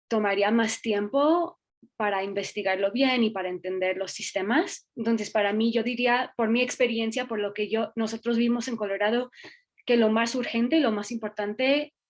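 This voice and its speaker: A woman advocate explains her experience in a measured, steady way on a video call. Her voice is mid-pitched and plain. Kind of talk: workshop discussion.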